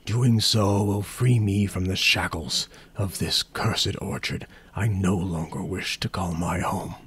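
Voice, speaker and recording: A man voicing an ancient, crazed oak creature with a gargling, rocky, whispery rasp in a slow, drawn-out delivery. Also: low voice, steady tone, a bit bright, exaggerated voice. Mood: solemn pleading. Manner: slow, drawn-out, broken by wild laughter.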